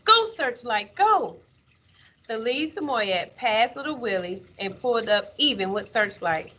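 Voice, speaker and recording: A woman teacher reading a children's story aloud in an expressive, measured way, with dramatic pauses. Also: fairly high pitch.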